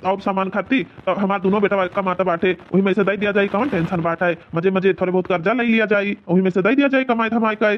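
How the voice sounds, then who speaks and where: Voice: mid-range voice, fast, few pauses, highs cut off, a little hiss or hum, close to an everyday voice. A performer voicing an agreeable, reassuring village family man in an Awadhi cartoon comedy, with a coaxing manner and an Awadhi accent.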